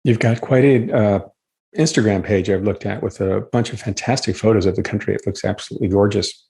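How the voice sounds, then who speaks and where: A man's voice: lowish voice, steady tone, brisk, vocal fry, warm tone. A man hosting a podcast, reading an upbeat scripted introduction and then chatting with his guest. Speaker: podcast host.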